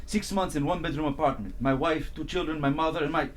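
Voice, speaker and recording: Man playing intense immigrant doctor, Russian accent, terse and formal, slightly high voice, table-read drama. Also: fast talker, slight background noise, natural voice. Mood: frustrated. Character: proud, intense Soviet immigrant doctor in his late thirties.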